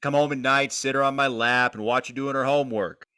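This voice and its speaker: A man's voice: mid-range voice, steady tone, fairly quick, bright, forceful tone, clean tone, lightly acted. A man playing a brash, slangy bandleader and doting dad in 1940s radio comedy style. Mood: doting, proud.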